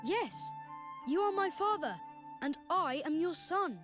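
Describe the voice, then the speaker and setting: A woman voicing a gentle, wise young boy prince in a video game cutscene, speaking in a measured, earnest way. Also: very high voice, expressive. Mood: earnest, calm. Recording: orchestral game music behind.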